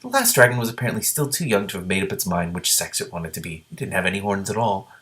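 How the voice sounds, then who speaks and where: A man reading a book aloud and breaking in with excitable, sometimes profane reactions. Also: low-pitched, quick pace. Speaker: reader and commentator.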